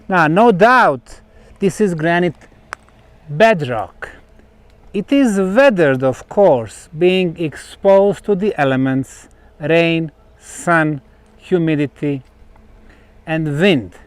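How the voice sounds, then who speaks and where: A man who is a native Bosnian speaker presenting on location in deliberate, emphatic short phrases with dramatic pauses. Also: on the high side. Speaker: presenter and researcher. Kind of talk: on-location explanatory monologue to camera. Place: outdoors on a granite rock platform.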